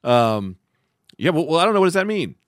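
Host, young man, rapid animated delivery, laughs mid-story, dry booth. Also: on the high side, no room sound. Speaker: podcast host. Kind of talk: casual podcast banter. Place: podcast studio. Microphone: studio mic.

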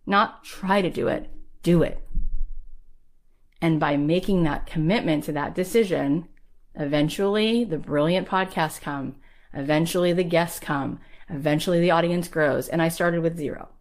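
A woman's voice: deep voice, moderate pace. A woman podcast host and coach giving a motivational talk with personal anecdotes, emphatic and encouraging, repeating phrases for effect.

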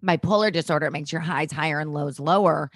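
A woman's voice: low-pitched, fast talker, dry recording, clean studio sound. A woman in her thirties, a guest actress, talking candidly and chattily in a casual interview. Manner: candid, chatty, filler-heavy.

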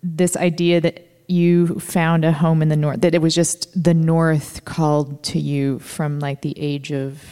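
A woman's voice: sits low, level tone, a little slow, few pauses, mellow, smooth, long reverb tail. A woman podcast host in casual conversation, thinking aloud with lots of fillers. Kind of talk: casual interview chat.

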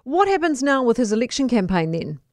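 A woman's voice: a little high, big pitch swings, fairly quick, dry recording, studio quality. A woman with a New Zealand accent hosting a live radio news interview and putting questions to a guest.

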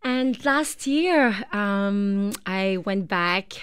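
Woman, radio guest, smooth mid voice, dry studio, restless and restarting. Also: medium pitch, dry recording. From Montreal, Canada. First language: French. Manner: animated, halting storytelling with many restarts and laughs. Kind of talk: casual radio chat. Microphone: studio microphone.

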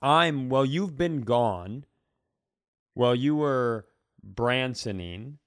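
A man's voice: medium pitch, animated, very slow, smooth, no room sound, studio quality. A man co-hosting a podcast, telling casual comic stories in a wry, rambling way. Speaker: podcast co-host. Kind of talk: casual comedic storytelling.

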